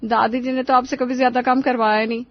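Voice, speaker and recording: A woman's voice: fairly high pitch, level tone, fast talker, dry recording, lo-fi sound, close to an everyday voice. A woman voicing a frustrated, outspoken young daughter-in-law who argues and complains in a Hindi cartoon story. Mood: protesting. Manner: complaining, argumentative.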